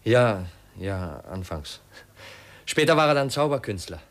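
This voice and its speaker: An actor playing an earnest, determined young heir in a 1950s German radio play, speaking formally. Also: male voice, on the low side, sing-song, a little slow, a bit bright. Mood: fond, reminiscing. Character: earnest, determined young male heir raised among circus artists.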